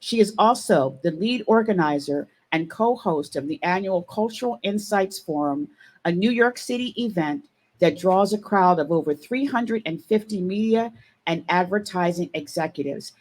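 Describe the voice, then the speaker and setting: A woman with a slightly low voice hosts a live online talk show. She reads a long introduction in an upbeat, even way. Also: normal speed. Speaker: show host. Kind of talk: scripted guest introduction on a live broadcast.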